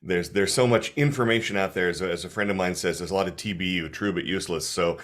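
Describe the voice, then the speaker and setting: A man's voice: low voice, steady tone, fast talker, fairly bright tone. A man, a guest author, thanking his host in an appreciative, thoughtful way with frequent restarts. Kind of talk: casual talk. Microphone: video call.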